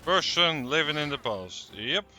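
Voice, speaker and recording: A man gives casual commentary on a game stream. He talks slowly in a bright voice, keeping his remarks short and laconic, with faint game music behind. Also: a little high, expressive. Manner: laconic, murmured brief reactions. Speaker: livestreamer. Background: game music and dialogue.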